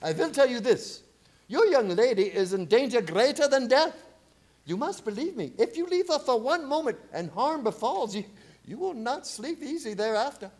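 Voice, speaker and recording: An ominous, commanding old-time radio professor, acted by a man. The voice is strikingly high and swings widely in pitch, with solemn, emphatic delivery. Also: very animated, moderate pace, darkish tone, exaggerated voice. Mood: grave warning. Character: ominous, commanding male professor, grave vampire expert.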